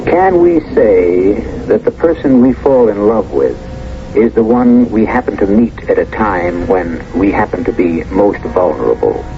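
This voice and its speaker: A man narrating a 1970s radio mystery as an urbane host, musing in a measured, theatrical way. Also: fairly low pitch, sing-song, a little hiss or hum. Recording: dull old radio recording with music.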